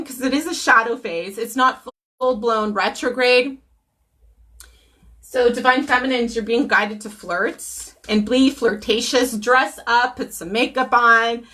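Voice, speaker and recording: A woman giving a casual live tarot reading. She is hoarse from a cold, clears her throat often, and uses many ums. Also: a little high, lively intonation, measured pace, sharp tone. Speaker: tarot reader.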